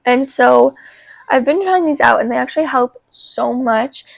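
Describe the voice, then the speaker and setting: Congested young woman, high creaky voice. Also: no room sound, low-quality recording. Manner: halting, informal, peppered with "like". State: sick with a cold, congested. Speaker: vlogger. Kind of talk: casual chat to camera.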